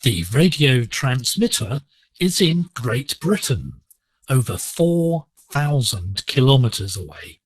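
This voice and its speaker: Slow, deliberate male educational presenter. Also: medium pitch, no room sound, poor audio. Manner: measured, didactic. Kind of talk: scripted lesson narration. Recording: digital shortwave radio broadcast, slightly rough.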